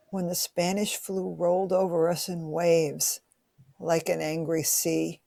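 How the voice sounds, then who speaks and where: A woman playing a grieving ghost from 1918 who tells her loss in a mournful, measured way. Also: low voice, creaky, warm tone, no room sound, exaggerated voice. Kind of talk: community radio play acting.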